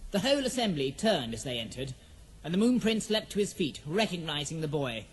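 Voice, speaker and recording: A man's voice: a little high, very animated, fairly quick, husky. A man playing the storyteller of an epic fantasy audio drama, giving formal, dramatic scripted narration. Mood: dramatic, measured.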